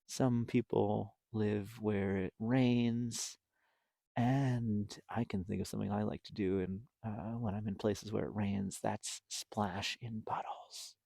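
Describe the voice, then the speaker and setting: Children's storytime host: slow, expressive delivery, simple and explanatory, in a close dry recording. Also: medium pitch, vocal fry, no room sound. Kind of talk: animated storybook reading and chat to young children.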